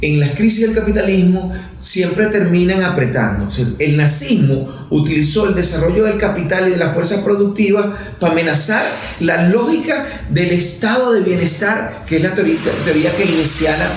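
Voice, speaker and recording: Venezuelan guy explaining stuff like a professor, kinda high voice, really echoey room. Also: expressive, moderate pace, hardly any pauses. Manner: professorial, analytical, explains with examples. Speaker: lawmaker guest. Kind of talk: interview conversation.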